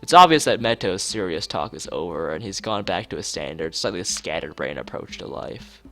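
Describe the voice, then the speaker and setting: Animated low-voiced man streaming a visual novel. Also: moderate pace, faint music behind. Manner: joking asides to viewers. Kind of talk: casual game stream with read-aloud narration.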